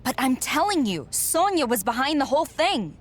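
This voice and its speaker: A woman's voice: sits high, very animated, normal speed. An actor playing a defiant, frustrated young female wrestler, insistent and indignant, in a video game cutscene. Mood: pleading frustration.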